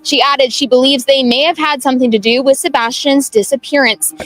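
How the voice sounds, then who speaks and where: A woman's voice: high-pitched, steady tone, a little fast, a bit bright, faint music behind, low-quality recording. A woman television reporter reading a scripted news narration.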